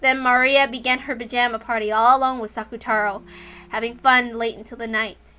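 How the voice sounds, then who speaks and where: A woman's voice: on the high side, fairly even intonation, fairly quick, bright, forceful tone, clear, resonant tone. A woman reading a visual novel aloud as its narrator, in scripted storytelling style.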